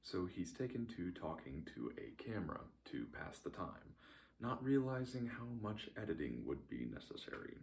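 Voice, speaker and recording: A man reading a scripted recap as a narrator, with a dry, deadpan delivery. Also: deep voice, moderate pace, vocal fry, slight background noise.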